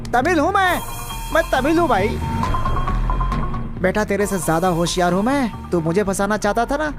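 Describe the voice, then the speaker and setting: With loud film music behind, a mouthy young man. He is high-pitched, excitable and melodramatic, full of comic bluster. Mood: mocking. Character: excitable young man, mocking, whining and self-pitying. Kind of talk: dubbed film comedy acting.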